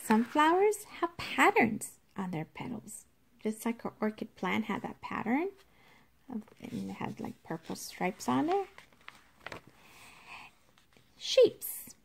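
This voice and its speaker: Lady teacher doing a kids read-aloud, really slow and careful, bit of creak in her voice. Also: on the high side, sing-song. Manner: deliberate, instructive, addressing children directly. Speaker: teacher reading aloud to young children. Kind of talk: children's nonfiction read-aloud with explanations.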